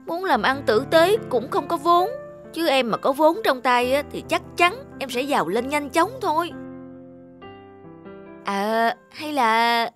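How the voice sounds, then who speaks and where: An actor playing a lazy, self-pitying young peasant in a cartoon, with a plaintive whine. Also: male voice, very high-pitched, a bit bright, full character voice. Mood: pleading. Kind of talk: cartoon voice acting.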